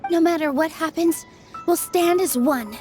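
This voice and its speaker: An actress playing a gentle, loyal young female dancer in an anime game dub, speaking earnestly and reassuringly. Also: extremely high pitch, measured pace, soft background music. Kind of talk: English-dubbed anime game voice acting.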